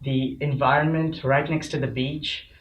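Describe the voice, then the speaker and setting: A man's voice: fairly high pitch, little pitch movement, a little slow, lo-fi sound. A man hosting a casual student panel chat, upbeat and friendly, with some fillers. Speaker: host. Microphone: distant mic. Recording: echoey room, mic far off, faint noise.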